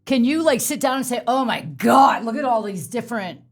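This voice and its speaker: A woman's voice: fairly high pitch, expressive, normal speed. Chatty, playful woman hosting a podcast. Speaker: podcast host. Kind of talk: casual chat.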